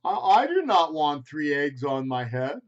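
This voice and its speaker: A man's voice: on the high side, sing-song, a little slow. A man doing a fretful cartoon elephant. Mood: anxious. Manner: worried, exclaiming, pleading. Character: anxious fretful grown-up male elephant. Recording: slightly muffled home video audio.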